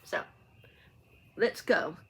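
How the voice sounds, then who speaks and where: A woman's voice: very slow, whispered. A woman talking casually to viewers in a rambling, informal book vlog, often getting sidetracked. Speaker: book channel vlogger.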